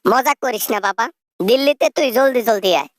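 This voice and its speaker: A woman's voice: a little high, a little fast, no room sound, clean studio sound, natural voice. A woman playing a coaxing, shameless elderly mother, a corrupt exiled politician, in a satirical phone-call skit.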